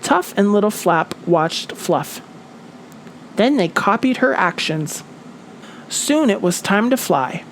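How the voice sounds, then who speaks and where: A woman teacher giving a children's story read-aloud lesson in a deliberate, expressive, instructional way. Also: mid-range voice, slight background noise, rough recording.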